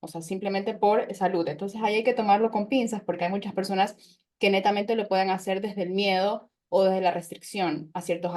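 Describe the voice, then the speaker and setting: A woman's voice: mid-range voice, a little mellow. Thoughtful woman nutritionist hosting a podcast. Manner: thoughtful, explanatory, with frequent fillers. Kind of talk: conversational podcast interview.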